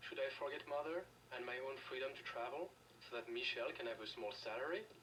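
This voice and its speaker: A man's voice: medium pitch, fast, sharp tone, lightly acted. An actor playing a defiant, bitter French father in a video game, speaking with a French accent. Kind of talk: video game dialogue acting. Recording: distant, noisy game capture with ambience.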